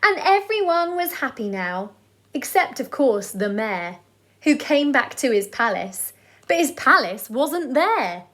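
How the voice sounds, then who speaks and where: A woman reading aloud to children as a storyteller, in an expressive style. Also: high voice. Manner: deliberate, sing-song delivery of rhyming verse.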